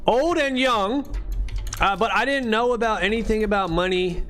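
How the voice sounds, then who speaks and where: Late-thirties male streamer, slightly high pitch, casual and profane, faint music underneath. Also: moderate pace, a bit bright. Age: late 30s. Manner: laid-back, slangy and profane, dude-and-bro talk. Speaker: livestream host. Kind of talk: casual live-stream chat.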